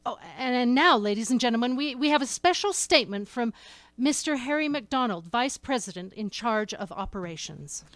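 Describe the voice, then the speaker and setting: An actress playing a flustered radio news announcer who reads urgent bulletins in a formal, halting way. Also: female voice, a little high, expressive, fairly bright tone, no echo. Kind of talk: old-time radio news bulletin acting.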